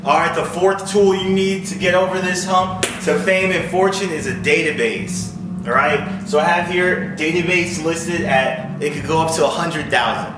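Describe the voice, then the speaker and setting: A man's voice: a little high, fairly even intonation, moderate pace. A man giving an informal, blunt instructional talk as a coach, explaining points and often checking in with the listener. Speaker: music industry coach, video host. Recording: roomy echo with clear music underneath. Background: music.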